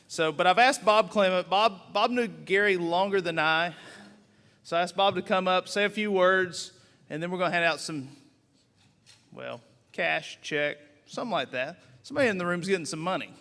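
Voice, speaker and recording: A man with a higher, bright voice is emceeing a banquet at a podium. His tone is smooth and resonant, and he speaks informally with many fillers. The hall gives his voice a long reverb. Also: moderate pace. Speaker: organization official and emcee.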